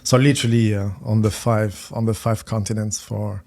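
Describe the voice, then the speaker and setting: A middle-aged man from France, a finance executive speaking as a podcast guest, talking haltingly with many repeated words and fillers. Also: on the low side, flat delivery, a little mellow. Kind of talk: conversational podcast interview.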